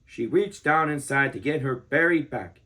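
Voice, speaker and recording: A man of thirty reading a children's book aloud as an expressive storyteller. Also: medium pitch, normal speed.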